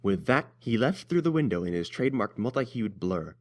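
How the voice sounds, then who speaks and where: A man's voice: lowish voice, sing-song, a little fast. A man narrating a scripted fan-fiction story as an audiobook reader.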